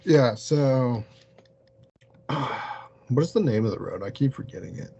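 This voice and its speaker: A man telling a story casually as an interview guest, halting and peppering his sentences with "like". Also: medium pitch, expressive, creaky, mellow, breathy edge, muffled recording. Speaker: podcast guest, paranormal investigator.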